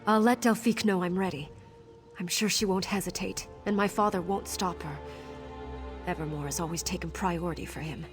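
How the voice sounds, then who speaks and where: A woman's voice: on the low side, brisk, lightly acted. A young woman voicing a wounded, defiant scout and dutiful daughter in a video game, speaking in an earnest, dramatic way. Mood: grimly resolved. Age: young adult. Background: game music.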